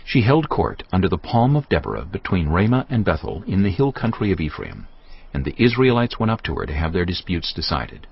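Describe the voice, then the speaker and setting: Deep, dramatic male narrator. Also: wildly swooping pitch, creaky. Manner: measured, solemn storytelling. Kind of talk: dramatic scripted Bible narration. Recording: dull audio with music behind. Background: music.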